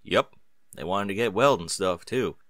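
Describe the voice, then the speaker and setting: A man's voice: on the low side, moderate pace, a bit bright, no room sound, clean studio sound, close to an everyday voice. Man voicing a stolid, terse farm stallion. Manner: terse, folksy. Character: laconic male farm pony, stolid big brother.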